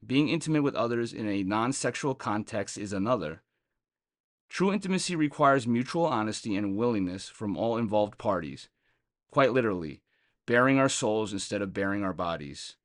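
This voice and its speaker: A man's voice: on the low side, big pitch swings, moderate pace, dry recording, clean studio sound. A man reading a scripted daily meditation as a reader on a recovery podcast.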